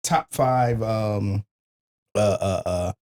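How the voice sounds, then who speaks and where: A man's voice: fairly low pitch, drawn-out words, darkish tone. Blunt, slangy man chatting casually. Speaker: podcast host. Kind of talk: casual chat, heavy swearing.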